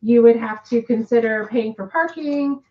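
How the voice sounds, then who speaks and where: A woman co-hosting a casual live chat, rambling with many ums and restarts, her voice hoarse and nearly gone. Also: fairly high pitch, moderate pace, lo-fi sound.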